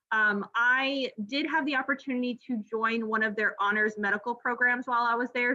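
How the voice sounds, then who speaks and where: Bright, friendly woman physician in her thirties, relaxed talk with ums; slightly muffled recording. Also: a little high. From Miami, Florida, United States. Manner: friendly, frequent ums and restarts. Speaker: pediatric gastroenterology trainee presenting. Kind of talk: informal presentation over slides.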